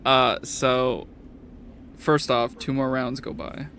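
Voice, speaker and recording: A man's voice: medium pitch, little pitch movement, slow, pushed and bright, a little hiss or hum. A man casually narrating a tabletop game as its game master.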